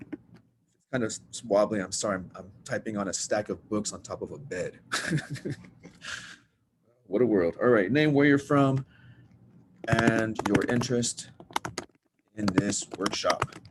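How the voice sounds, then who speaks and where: Low man, workshop leader, easygoing and rambling on a video call with steady background noise. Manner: loose and rambling, self-deprecating, says y'all. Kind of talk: casual teaching talk. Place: at home, typing on a bed.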